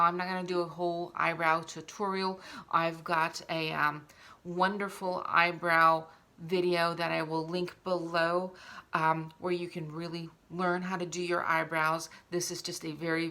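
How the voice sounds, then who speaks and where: A woman's voice: on the low side, sharp tone. A woman hosting a casual makeup tutorial, explaining each step as she demonstrates it. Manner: instructional, talking while demonstrating.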